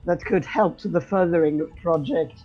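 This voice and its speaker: A woman's voice: lowish voice, normal speed, husky. A woman playing a tabletop game, hesitant and stammering. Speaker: player. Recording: dull lo-fi recording.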